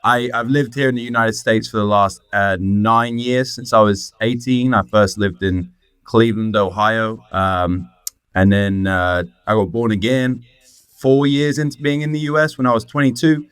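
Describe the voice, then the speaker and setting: A man's voice: mid-range voice, steady tone, normal speed, a bit bright, clear, resonant tone, no echo. A man of about thirty with a southern English accent, chatting informally and self-deprecatingly as an interview guest. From England, near Oxford. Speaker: guest, student. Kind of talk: casual personal chat.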